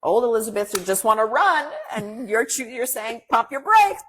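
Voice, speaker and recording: A woman whose first language is Farsi, a guest in a casual interview, talking earnestly and encouragingly in quick bursts. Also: a little high, lively intonation, a bit bright, slight background noise.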